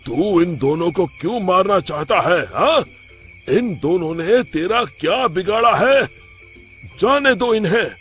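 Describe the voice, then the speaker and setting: A man voicing a stern, protective hermit sage in a cartoon moral story, commanding and deliberate. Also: sits high, expressive, fairly bright tone, faint music behind, voice pushed up, heavily acted.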